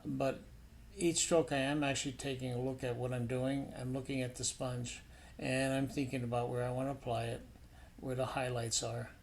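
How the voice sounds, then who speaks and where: A man's voice: mid-range voice, flat delivery, moderate pace, slight background noise. A man narrating a painting demonstration as an instructor, in an informal, explanatory and somewhat hesitant way.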